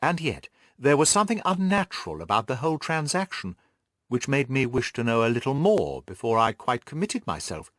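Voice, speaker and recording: Guy reading a classic audiobook, really animated intonation, average pitch, no room echo. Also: moderate pace, studio quality. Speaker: audiobook narrator. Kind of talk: expressive literary scripted narration.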